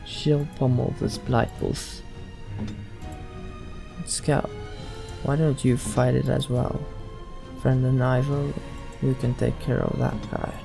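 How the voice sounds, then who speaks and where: Slow-talking man with a creaky voice. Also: medium pitch, little pitch movement, music in the background. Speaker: let's play host. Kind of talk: slow in-character roleplay game commentary.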